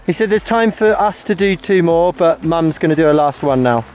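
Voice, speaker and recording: A woman's voice: on the low side, animated, normal speed, a little mellow. A woman, chatting cheerfully and encouraging her family as she films them on an outdoor ski slope. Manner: cheerful, encouraging, laughs often. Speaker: vlogger filming family. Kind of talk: casual chat while filming. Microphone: handheld action camera.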